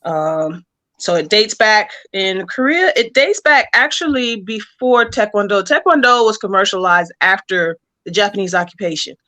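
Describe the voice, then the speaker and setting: Bright-voiced woman explaining her work. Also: mid-range voice, expressive, moderate pace. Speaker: comic creator and writer, interview guest. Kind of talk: conversational explanation.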